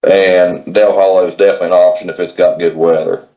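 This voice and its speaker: A man's voice: low-pitched, level tone, fast, warm tone, dull audio. An American man chatting casually as an angler guest in an interview, laid-back and plain-spoken, over a remote call. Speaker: podcast guest, tournament kayak angler.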